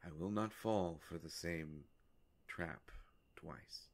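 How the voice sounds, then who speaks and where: Casting: ancient gentleman vampire male actor, very low and creaky, courtly, hesitant, gentle, for intimate roleplay audio drama. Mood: solemn, wry. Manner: halting, formal, soft-spoken, courtly.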